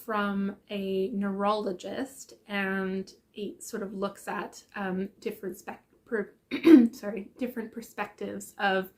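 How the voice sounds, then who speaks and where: A woman's voice: mid-range voice, little pitch movement, takes it slowly, darkish tone. Congested, chatty woman vlogger. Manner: chatty, many ums and self-corrections. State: congested, croaky from sinus infection. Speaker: book vlogger. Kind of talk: casual book chat.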